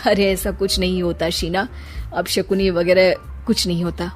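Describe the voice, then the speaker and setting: A woman's voice: lowish voice, flat delivery, moderate pace, faint music behind, close to an everyday voice. A woman voicing a kind, devoted young husband in a cartoon story, earnest and soothing. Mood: reassuring.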